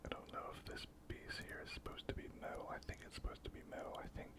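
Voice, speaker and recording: Whispering ASMR hobby host. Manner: unhurried, murmured thinking aloud. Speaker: hobby painting channel host. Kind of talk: whispered ASMR miniature painting commentary.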